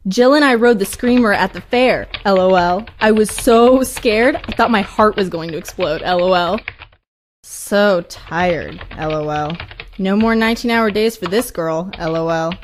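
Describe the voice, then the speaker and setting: A woman's voice: mid-range voice, measured pace, close to an everyday voice. Woman acting an oblivious poster, keyboard noise behind. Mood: oblivious, breezy. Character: oblivious young woman reciting her social media posts. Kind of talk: audio play, reading posts aloud.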